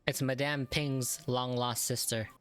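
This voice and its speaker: A woman's voice: very deep voice, steady tone, measured pace. A woman giving casual gameplay commentary, reacting informally with sarcastic asides. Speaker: gamer reacting to game. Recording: game music faintly behind. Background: faint game music.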